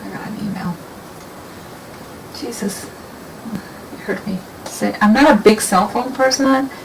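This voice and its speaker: A woman talking casually and thinking aloud as she paints, with a soft, rambling manner. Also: mid-range voice, a little slow, vocal fry, a little hiss or hum, poor audio. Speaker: painting instructor. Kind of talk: casual painting demo talk.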